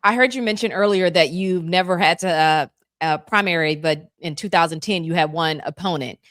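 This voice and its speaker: A woman's voice: on the low side, fairly bright tone, no echo, studio quality. A woman hosting a news talk show, interviewing a guest and responding attentively with brief affirmations. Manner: attentive, affirming, quick asides. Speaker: show host.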